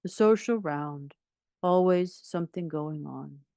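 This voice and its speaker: Storybook donkey, male, played by a woman: mournful, self-important, slow and creaky. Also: low-pitched, warm tone, dry recording, studio quality, full character voice. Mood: resigned. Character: gloomy, pompous, long-suffering old toy donkey, male.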